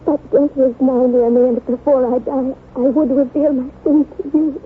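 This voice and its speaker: An actress playing a frail, guilt-ridden wife in an old-time radio melodrama, speaking with solemn, mournful drama. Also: female voice, high voice, flat delivery, normal speed, lightly acted. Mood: weak, remorseful. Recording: tinny, noisy vintage radio recording.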